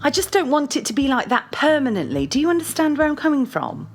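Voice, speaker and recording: A woman's voice: high voice, big pitch swings, fast, faint music behind. An actress playing a domineering, short-tempered girlfriend in an audio play, blunt, scolding and foul-mouthed. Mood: bossy, irritated.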